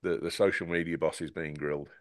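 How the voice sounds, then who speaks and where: A man on a casual tech podcast panel, talking in an animated, sarcastic way with many stumbling restarts. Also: rumbling low, brisk, dry recording, studio quality.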